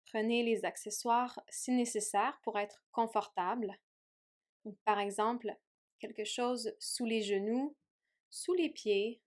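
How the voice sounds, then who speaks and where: A woman yoga teacher leading a guided meditation in a gentle, soothing way with long pauses. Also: fairly high pitch. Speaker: yoga teacher guiding a relaxation.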